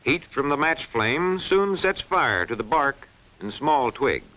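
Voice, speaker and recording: A man reads scripted instructional film narration in a clearly enunciated, didactic way. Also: mid-range voice, very animated, slow, sharp tone. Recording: dull, band-limited vintage film soundtrack.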